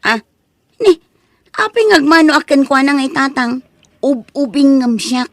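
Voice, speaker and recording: A woman performs a grown Ilocano daughter in a comic radio drama, upset at her father's romance. Her voice is high, plaintive and protesting. It is recorded dry and close. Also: expressive, unhurried, a bit bright, dry recording, heavily acted. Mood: wary, questioning.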